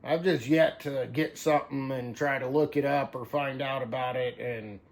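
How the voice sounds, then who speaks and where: A man's voice: mid-range voice, fairly even intonation, normal speed. A man hosting a casual hands-on show-and-tell video, talking informally and rambling as he thinks aloud. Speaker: video host.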